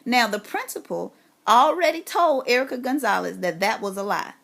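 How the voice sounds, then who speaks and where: A woman's voice: fairly high pitch, lively intonation, normal speed, creaky, a bit bright. An aggrieved woman making a long complaint on a phone call, speaking insistently and emphatically. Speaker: caller, parent making a complaint.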